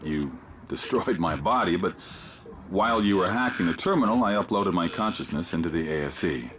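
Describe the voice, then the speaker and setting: An actor playing the calm, dryly wry consciousness of an alien living inside a device. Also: male voice, low-pitched, moderate pace, slight background noise.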